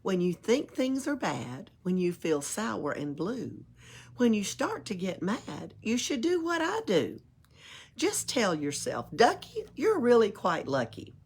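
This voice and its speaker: A woman's voice: mid-range voice, a little slow, gravelly, husky. A woman reading a rhyming picture book aloud at a library storytime, playful, with little asides of her own. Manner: expressive, playful, adds asides and chuckles.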